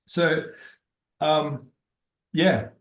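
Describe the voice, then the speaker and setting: A man giving unscripted explanations as an interview guest, talking haltingly as he thinks aloud. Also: a little high, steady tone. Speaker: interview guest, geology-minded stock investor.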